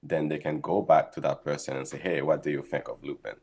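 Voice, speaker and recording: A man's voice: very deep voice, fairly even intonation, a little fast, a little mellow, breathy edge. A man, a guest scientist explaining his work steadily in a casual interview over a video call. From Haiti. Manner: thoughtful, explains steadily with frequent ums.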